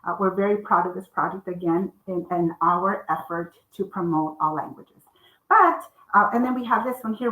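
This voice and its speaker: A middle-aged woman author, Spanish her first language, talks in an earnest, measured way over a video call. Her voice sits in an ordinary middle pitch. The room sounds echoey around her. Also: a bit bright. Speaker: children's book author. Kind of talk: library author talk.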